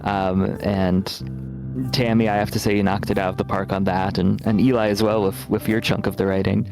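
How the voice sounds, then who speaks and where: Guy game dev chatting in an interview, measured and thoughtful, some guitar music in the back. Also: fairly low pitch, level tone, creaky. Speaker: game developer and writer. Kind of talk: casual interview answers over a game stream.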